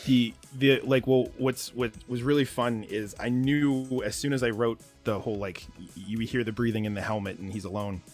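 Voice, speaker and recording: A man's voice: mid-range voice, steady tone, moderate pace, few pauses, faint music behind. A man chatting as an interview guest, explaining his creative work in an enthusiastic, casual way. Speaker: podcast guest, audio fiction writer.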